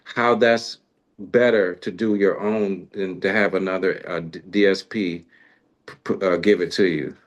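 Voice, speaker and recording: Lowish-voiced man, informal interview host. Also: fairly even intonation, measured pace. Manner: informal, slangy, brief affirmations. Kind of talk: casual interview chat.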